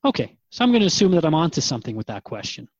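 A man's voice: fairly high pitch, very animated, racing, husky, no room sound, muffled recording, full character voice. A man playing a curious human radio interviewer, informal and slightly bemused, in a conversational drama.

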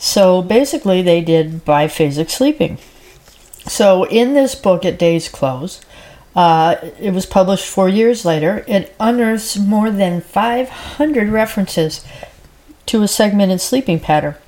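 A woman's voice: medium pitch, animated, unhurried, dark tone. Stuffy-voiced woman chatting on a podcast. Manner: chatty, rambling with frequent fillers. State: stuffy from a head cold. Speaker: podcast host. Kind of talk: casual solo podcast chat.

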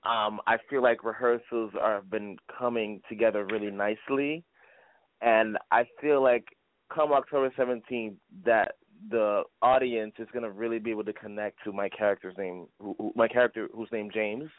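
A man in his twenties speaks conversationally as an interviewed actor. His voice is fairly low. The sound is dry and band-limited, like a phone call. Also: fairly even intonation, normal speed, no echo. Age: 20s. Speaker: stage actor, interview guest. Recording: tinny phone line, dry.

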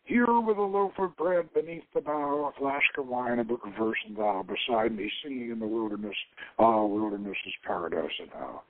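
A man's voice: mid-range voice, expressive, normal speed, no room sound, pitched below the performer's own voice. Man reading as earnest young woman, tinny line. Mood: reflective, wistful. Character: young Lebanese woman recounting her life, intellectual, romantic. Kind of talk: dramatic reading of an adventure novel. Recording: narrow, tinny phone-like radio line.

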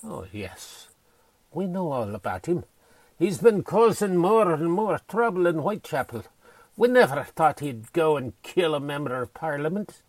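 A man playing an assured Victorian police inspector in a radio play, with a brisk, official manner. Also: a little high, very animated, dry recording, heavily acted. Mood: businesslike, grim.

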